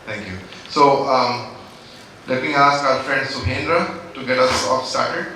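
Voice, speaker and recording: A man's voice: mid-range voice, a little slow, bright, forceful tone, a little hiss or hum. A man from India moderating a business panel, polite and hesitant with many fillers. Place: large conference hall. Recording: echoey hall from far off.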